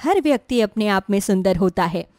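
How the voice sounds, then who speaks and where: Caring mother played by a woman, middle-aged, pitched down, dry recording, earnest advice in a moral story. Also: animated, brisk, mellow, no room sound, natural voice. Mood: reproving.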